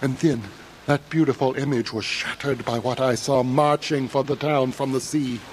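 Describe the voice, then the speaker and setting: A man's voice: medium pitch, steady tone, normal speed, close to an everyday voice. An actor playing a haunted traveler who recounts his escape in solemn, intense, literary horror narration for audio theatre. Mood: horrified. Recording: clearly noisy, ambient sound behind voice.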